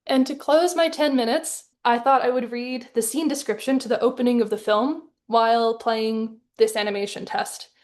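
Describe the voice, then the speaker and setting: A woman from Hong Kong giving a prepared artist talk over a video call, speaking in a thoughtful, measured, articulate way. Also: fairly high pitch, steady tone, darkish tone. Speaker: artist in residence presenting her animated film. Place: live online webinar.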